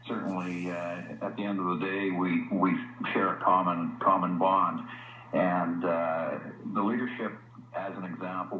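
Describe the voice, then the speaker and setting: A man answering questions in a radio interview, speaking in a halting, hesitant way. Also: deep voice, fairly bright tone. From Canada. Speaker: interview guest, realtor association representative. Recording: noisy, echoey radio playing in a room.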